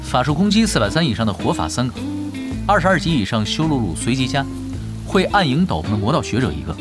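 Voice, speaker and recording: A man's voice: mid-range voice, sing-song, quick pace, over music, natural voice. An actor playing a shrewd, unflappable young male expert gamer in an audio drama, nonchalant, matter-of-fact and teasing. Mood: cool, businesslike. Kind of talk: Chinese audio drama acting.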